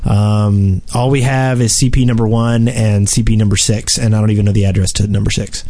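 A man's voice: fairly low pitch, little pitch movement, brisk, mellow. Chatty male podcast co-host. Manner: chatty storyteller, jokey. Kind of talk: casual gaming podcast chat.

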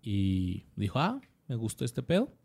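A man's voice: lowish voice, wildly swooping pitch, unhurried, vocal fry, a little mellow, no echo. A man co-hosting a podcast, reading out actor bios with lots of slang and jokes. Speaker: podcast co-host. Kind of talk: casual podcast chat.

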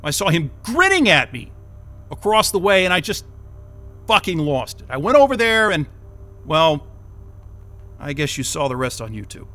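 A flustered, cynical out-of-work techie in an audio drama. His voice is high and piercingly sharp, swinging widely as he blurts and swears in exasperation. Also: very animated, normal speed, long pauses, soft background music. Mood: bitter, agitated. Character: flustered, cynical jobless tech worker under interrogation. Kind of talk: naturalistic audio drama acting.

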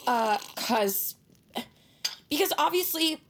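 A woman playing a blunt, sarcastic, impatient young sidekick with a husky voice in an audio drama. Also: sits high, expressive, slow, slight background noise, exaggerated voice. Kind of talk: scripted audio drama acting.

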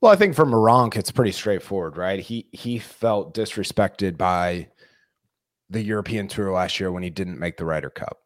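Low-voiced man, slightly hoarse co-host. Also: animated, moderate pace, no echo, clean studio sound. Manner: rambling, many restarts. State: losing his voice. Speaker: podcast co-host. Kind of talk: casual sports podcast chat.

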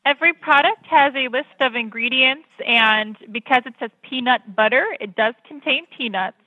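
A woman's voice: on the high side, moderate pace, no room sound. A woman in customer service speaking politely and patiently, explaining things over the telephone. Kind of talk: polite scripted phone service talk. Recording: thin, narrow telephone line.

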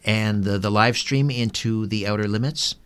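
A man's voice: lowish voice, fairly even intonation, moderate pace, a bit bright, clean tone. A man hosting an interview show, putting questions to guests and addressing listeners as presenter. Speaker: podcast host, interviewer.

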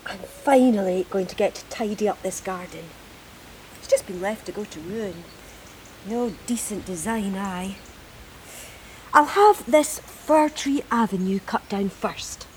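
A woman acting in an audio drama as a bossy, chattering woman who fusses over home and child in a brisk, gushing way. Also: medium pitch, darkish tone, a little hiss or hum, lightly acted, low-quality recording. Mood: bossy, fussy.

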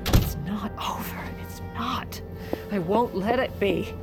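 A woman's voice: medium pitch, takes it slowly, loud music behind the voice. An actress playing a desperate, scheming First Lady in an audio drama, intense, pleading and defensive. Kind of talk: audio drama acting with first-person narration.

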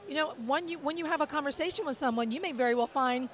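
Woman guest on a podcast, really high voice gone hoarse, chatty and eager. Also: quick pace. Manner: animated, agreeable, lots of "right". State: hoarse, strained voice. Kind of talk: casual conversation.

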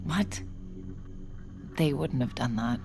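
A woman's voice: very deep voice, slow, over music, exaggerated voice. A woman acting a guarded, teasing young woman in a fiction podcast, speaking in a casual, dry, understated way. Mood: wary, questioning.

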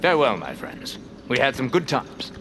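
An actor playing an earnest, oblivious, fired radio host in a comic audio drama, theatrical and wordy. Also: male voice, medium pitch, very animated, normal speed, background noise. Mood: grandiose.

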